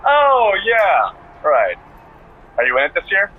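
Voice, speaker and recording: A man playing a goofy, stubbornly suspicious co-host in game cutscene banter, husky and heard over a phone line. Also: high-pitched, wildly swooping pitch, takes it slowly, heavily acted. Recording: muffled lo-fi phone call.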